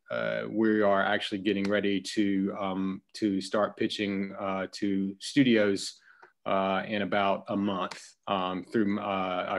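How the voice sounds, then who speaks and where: A man from rural North Carolina, a writer-producer introducing his projects on a video call, halting with many fillers. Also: deep voice, a bit bright. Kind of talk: self-introduction pitch.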